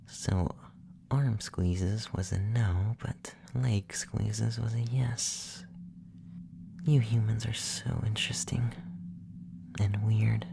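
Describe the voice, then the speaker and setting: A performer playing a calm, clinical, gently curious young effeminate male alien abductor in a soft, breathy, often whispered ASMR roleplay, speaking slowly and soothingly. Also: medium pitch, background noise. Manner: slow, soothing, softly spoken, matter-of-fact.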